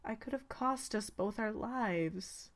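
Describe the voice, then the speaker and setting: A woman playing a friendly young female pegasus knight in a game fan dub, with a gentle, familiar way of talking. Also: on the high side, slow pace. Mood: downcast, grateful. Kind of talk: acted game dialogue.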